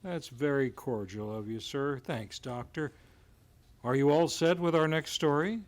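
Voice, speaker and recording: A man's voice: mid-range voice, measured pace, lightly acted. A man playing an eager, polite announcer hosting an old-time radio drama. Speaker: announcer and host.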